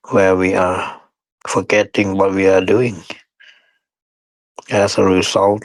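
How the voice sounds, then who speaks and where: A man teaching guided meditation in short, unhurried phrases with pauses and non-standard English grammar. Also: lowish voice, no echo, rough recording.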